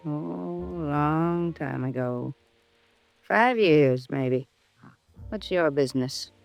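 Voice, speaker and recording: A male actor playing a suspicious, curt American rooming-house manager in a 1950s radio drama, creaky, terse and folksy. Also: a little high, slow. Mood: curt, wary. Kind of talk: 1950s radio character acting. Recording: vintage radio recording with music.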